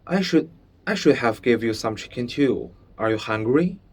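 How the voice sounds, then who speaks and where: A man's voice: medium pitch, expressive, normal speed, darkish tone, natural voice. A man voicing a bold, generous young boy in a read-aloud story, speaking emphatically. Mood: apologetic, eager.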